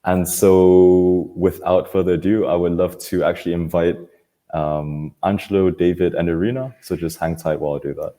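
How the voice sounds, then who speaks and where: A man's voice: low voice, little pitch movement, normal speed, mellow. A man hosting an online panel in a casual, informal way, speaking somewhat hesitantly on a video call. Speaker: host of an online panel webinar. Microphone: computer video call.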